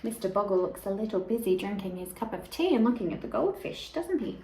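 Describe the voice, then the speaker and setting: A woman reads a children's story aloud in a lively, swooping voice. She takes her time and stretches important words for young listeners. The room is echoey, with slight noise behind her. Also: mid-range voice. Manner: expressive, deliberate, drawn-out emphasis on key words. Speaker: teacher reading a picture book aloud.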